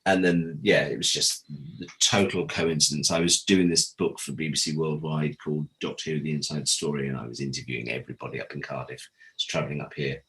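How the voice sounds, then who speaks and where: A man talking casually as an interview guest, an animated storyteller who recounts anecdotes and acts out remembered conversations. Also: very deep voice, fairly quick, poor audio. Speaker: interview guest, audio drama producer and director.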